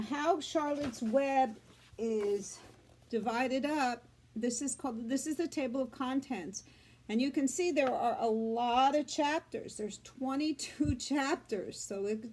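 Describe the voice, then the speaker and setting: A woman doing a read-aloud for young children, explaining simply with deliberate emphasis, like a teacher. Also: a little high, a little mellow. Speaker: children's story reader, school media specialist.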